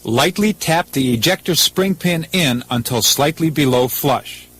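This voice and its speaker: A man's voice: a little high, expressive, unhurried, a bit bright, slight background noise, poor audio. A man with an American accent reading scripted instructions as a 1980s military training film narrator, in a formal, methodical, impersonal manner.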